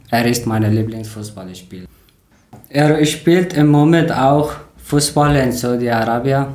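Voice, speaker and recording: Mann aus Afghanistan, hallig. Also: male voice, medium pitch, a little mellow, reverberant. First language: not German. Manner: careful, learner grammar, slightly halting. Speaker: podcast host. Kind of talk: reading prepared talk in learner German.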